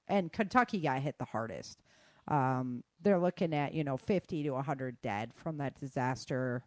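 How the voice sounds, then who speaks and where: A woman's voice: a bass voice, normal speed, darkish tone, dry recording, clean studio sound. A woman hosting a podcast, running through news in a casual, conversational way and speaking informally to listeners. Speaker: podcast host. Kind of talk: casual news roundup on disasters and weather.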